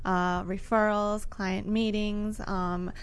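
Woman guest, mid-pitched and hesitant. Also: little pitch movement, slow, smooth. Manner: hesitant, with fillers. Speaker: marketing director, radio guest. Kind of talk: radio interview.

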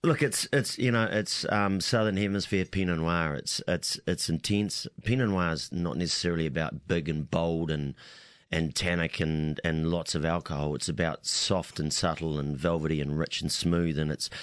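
A man's voice: low-pitched, steady tone, moderate pace, smooth, dry recording, clean studio sound. A man with a New Zealand accent, a winemaker guest chatting on a talk radio show, rambling and repeating himself as he talks. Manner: rambling, repeats words, laughs easily. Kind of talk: casual talk radio chat.

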